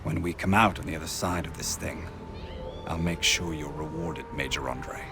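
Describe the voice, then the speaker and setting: An actor playing a treacherous, self-important major general who speaks in a measured, formal, commanding way in a video game. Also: male voice, very deep voice, vocal fry, over music. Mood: calculating, commanding. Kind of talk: video game drama acting.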